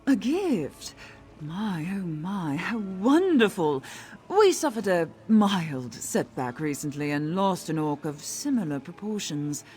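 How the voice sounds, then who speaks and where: A fawning noble servant. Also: female voice, fairly low pitch, sing-song, slow pace, a little mellow. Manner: obsequious, fussy. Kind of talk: video game voice acting.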